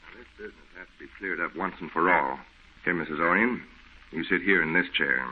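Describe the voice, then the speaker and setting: Deep-voiced skeptical friend, muffled old radio. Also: male voice, brisk, breathy edge. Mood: firm, skeptical. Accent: American. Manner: insistent, folksy, reasoning. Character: level-headed, plain-spoken male friend, coaxing and skeptical. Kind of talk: 1940s radio horror drama acting.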